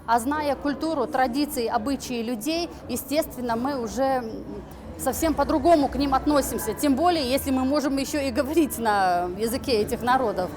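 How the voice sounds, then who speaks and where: High voiced lady organizer, pausing a lot, music behind. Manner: hesitant, thoughtful, with pauses. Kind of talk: interview comment.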